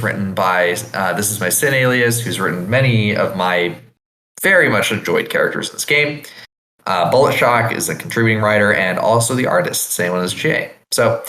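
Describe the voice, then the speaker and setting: A man's voice: lowish voice, pushed and bright, echoey room, low-quality recording. A man hosting a podcast in a casual conversational style. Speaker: podcast host.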